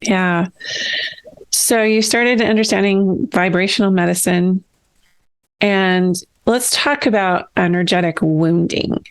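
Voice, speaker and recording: A woman's voice: medium pitch, takes it slowly, smooth. A middle-aged woman hosting an interview, thinking aloud in long, winding, reflective sentences. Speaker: podcast host. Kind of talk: casual interview chat.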